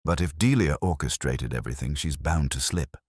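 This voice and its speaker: A man's voice: rumbling low, brisk, a little mellow, husky, no echo, studio quality. A man playing a careful, inquisitive detective in an audio mystery story. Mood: reasoning. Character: careful, questioning detective, the inspector's colleague.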